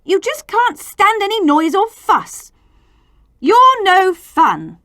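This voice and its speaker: Indignant storybook kids voiced by a woman, raised swooping pitch, loud and emphatic protest. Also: big pitch swings, takes it slowly, no echo, studio quality, full character voice. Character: indignant, argumentative group of young children.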